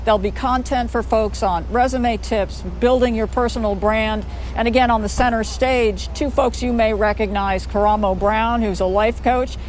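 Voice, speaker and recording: A woman's voice: on the high side, fairly even intonation, normal speed, a little mellow, a little hiss or hum. A woman speaking as a company representative, giving promotional answers in a live interview.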